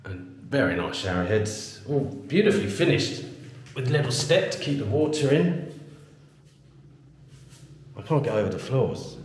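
A man's voice: mid-range voice, a little mellow, reverberant, big-room sound. A man leads a casual tour of an empty house for sale, filming as he walks and chatting as he goes. He thinks aloud and slips into broken Thai phrases. His voice rings around the bare hard-floored rooms, and a faint hiss runs underneath. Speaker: vlogger touring a house for sale. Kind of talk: casual walk-through narration.

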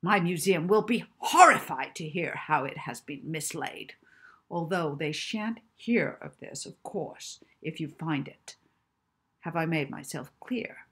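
A woman reader plays a furious, bullying professor in a children's storybook. The voice booms and rasps, forceful and sharp, barking aggressive demands like orders. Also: lively intonation, unhurried, full character voice. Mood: bossy, menacing. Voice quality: booming. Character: belligerent, bullying, ugly middle-aged male professor, booming.

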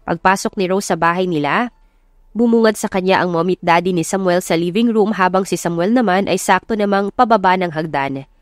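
A woman's voice: lowish voice, a little fast, dry recording, clean studio sound. A woman narrating a scripted romance story in a dramatic audiobook style. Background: music.

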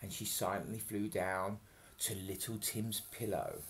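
A man's voice: fairly low pitch, steady tone, measured pace, slight background noise. A man in his thirties reading a children's picture book aloud expressively, with playful, chatty asides to explain the story. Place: home kitchen, indoors. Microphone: webcam at arm's length.

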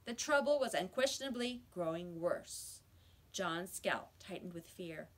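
A middle-aged woman reading a children's book aloud in a measured, expressive way, indoors in a small room. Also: fairly low pitch. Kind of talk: children's storybook read-aloud. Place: small school office or library room, indoors.

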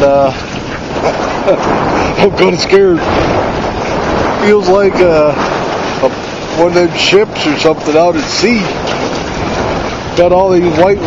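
A man rambling casually in a folksy, colloquial way as he films himself an outdoor video, talking as if to himself. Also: on the high side. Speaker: amateur vlogger. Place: outdoors on a windy lake pier. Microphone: handheld camera or phone. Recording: muffled outdoor phone recording, loud wind noise. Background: wind and water noise.

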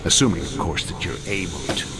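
Gravelly, weary ancient goblin. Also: male voice, deep voice, noisy background. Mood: weary, taunting. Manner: slow, deliberate, taunting. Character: weary, bitter, centuries-old goblin mastermind, sardonic. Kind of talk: dramatic video game cutscene acting. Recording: loud music behind the voice.

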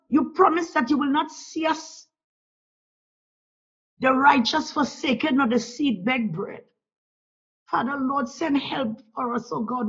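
A woman's voice: high-pitched, fairly bright tone, breathy edge. A woman leading a fervent spoken prayer, pleading and repeating calls to God and the Lord between phrases. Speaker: prayer leader. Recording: dull lo-fi recording.

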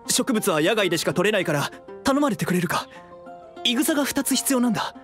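A man's voice: high-pitched, very animated, fast, a bit bright. A man voicing a sheepish, apologetic tavern-loving husband in an anime game, hesitant in his delivery. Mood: bashful. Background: music.

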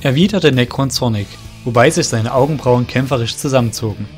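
A man narrating a scripted fantasy audio drama in a measured storybook manner. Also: mid-range voice, faint music behind.

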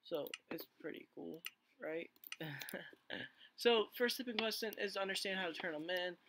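A man with a hoarse voice he says he is losing, giving rambling casual let's play commentary full of fillers and restarts. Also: high-pitched, moderate pace, background noise. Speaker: gaming channel host.

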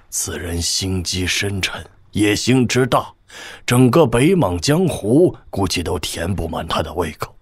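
An actor playing a shrewd old military governor, husky, measured and wry, in a wuxia audio drama. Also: male voice, low-pitched, no room sound. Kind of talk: Chinese wuxia radio drama acting.

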